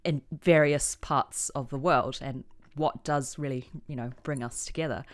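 A woman's voice: a bass voice, fairly even intonation, measured pace. A woman hosting an interview, conversational and engaged as she asks her questions. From New Zealand. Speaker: podcast host.

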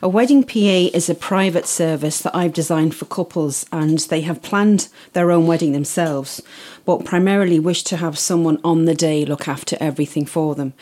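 A middle-aged woman with an Irish accent, a guest explaining her work in a casual podcast interview, steady and detailed. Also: deep voice, moderate pace, hardly any pauses. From Isle of Man. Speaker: guest, wedding PA.

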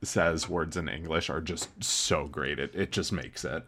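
A man with a very deep voice co-hosting a casual chat show. He talks in a laid-back way and chuckles along, padding his sentences with fillers. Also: unhurried, fairly bright tone, husky. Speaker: podcast co-host.

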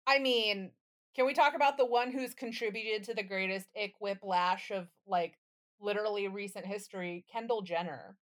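A woman's voice: medium pitch, normal speed, fairly bright tone. A woman co-hosting a casual comedy podcast, talking in a blunt, sardonic and sweary way. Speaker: podcast co-host.

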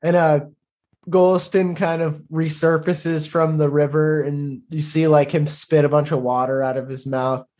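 A man plays a tabletop roleplaying game and describes the action as he goes. His delivery is casual and halting, in a slightly high male voice. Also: level tone, a little mellow. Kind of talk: casual improvised roleplay narration.

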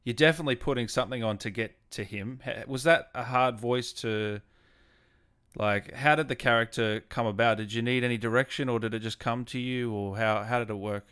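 A man's voice: medium pitch, fairly even intonation, brisk, a bit bright, clear, resonant tone. Joking male interviewer. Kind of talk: casual interview. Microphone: video call.

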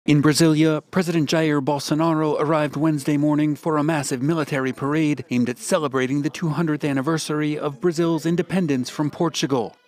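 A man's voice: on the high side, fairly even intonation, brisk, hardly any pauses, darkish tone, no echo. A man narrating a scripted news report as a correspondent.

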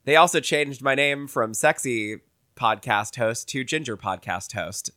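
A man's voice: medium pitch, a little slow, pushed and bright, dry recording. Man, congested, podcast co-host, casual storytelling, dry close sound. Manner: anecdotal storyteller.

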